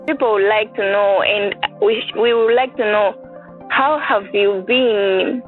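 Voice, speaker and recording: A woman's voice: mid-range voice, steady tone, takes it slowly. A woman interviewing a guest, asking loosely worded questions that she sometimes restarts. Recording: tinny phone-like line, faint music.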